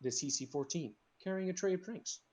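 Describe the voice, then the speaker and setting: A man's voice: sits high, quick pace, a little mellow, breathy edge. A man narrating screenplay directions in a casual group read, dropping in amused asides.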